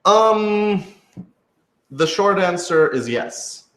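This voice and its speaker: A man's voice: high voice, animated, drawn-out words, fairly bright tone. A man talking casually and thoughtfully in a group discussion as a show's creator, hedging and often saying "like". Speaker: podcast creator and writer in a group Q&A. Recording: roomy, echoey room sound.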